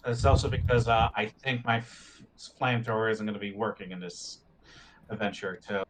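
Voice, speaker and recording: A man's voice: on the low side, flat delivery, normal speed. A man chatting casually as a player in a tabletop roleplaying game. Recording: clearly noisy with clicks.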